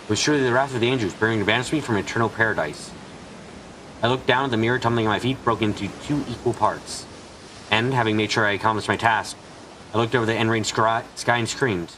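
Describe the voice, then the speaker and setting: A man's voice: fairly low pitch, fast, a bit bright, slight background noise, rough recording. A man reading a visual novel aloud as dramatic scripted narration on a stream.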